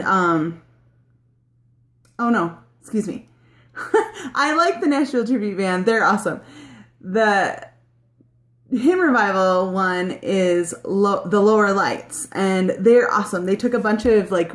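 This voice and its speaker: A woman in her late thirties chatting casually through a get-to-know-me vlog, rambling with many ums and likes. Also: medium pitch. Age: 30s. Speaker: vlogger. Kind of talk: casual chat answering a tag video.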